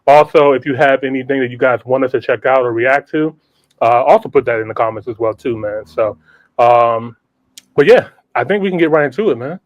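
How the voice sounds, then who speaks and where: A man hosting a podcast in casual, slangy hype style, with an animated, welcoming manner. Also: medium pitch, brisk, no echo, muffled recording. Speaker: podcast host.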